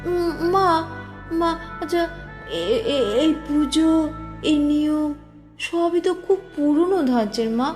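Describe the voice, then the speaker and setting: High, stammering young bride acted by a woman. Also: slow pace, long pauses, a little mellow. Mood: hesitant, curious. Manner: stammering, eager to please. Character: young new daughter-in-law, nervous, dutiful, secretly reluctant. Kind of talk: Bengali audio drama acting. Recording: long reverb, music bed underneath.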